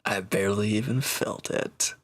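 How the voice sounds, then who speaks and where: A man playing a proud, teasing reformed villain and swordsman in an intimate audio roleplay, talking cockily to one listener in a gravelly voice. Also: lowish voice, fairly even intonation, moderate pace, dry recording, clean studio sound, close to an everyday voice. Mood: defensive, dry.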